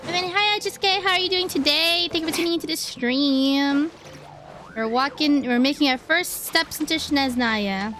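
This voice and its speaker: A woman's voice: high voice, normal speed, fairly bright tone, faint music behind. A woman chatting casually and playfully to viewers as she plays a video game, often exclaiming as she goes. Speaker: streamer playing a video game.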